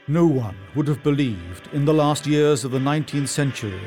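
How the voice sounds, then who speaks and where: A man working as a voice-over artist, delivering scripted narration in a measured, dramatic manner. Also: mid-range voice, expressive, darkish tone, soft background music.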